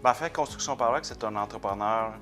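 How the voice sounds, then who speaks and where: A man's voice: fairly low pitch, quick pace, a bit bright, faint music behind. A man with a Québécois French accent, a company president explaining his work in a casual interview, matter-of-fact. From Quebec.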